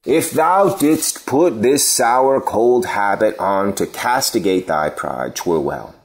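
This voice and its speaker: An actor playing a cynical, needling Athenian philosopher in a Shakespearean audio play, deliberate and mocking. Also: male voice, on the low side, expressive. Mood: scolding.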